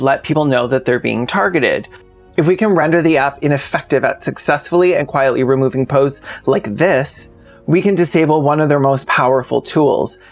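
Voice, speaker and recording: A man narrating a video essay as the channel's host, speaking directly to the audience. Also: medium pitch.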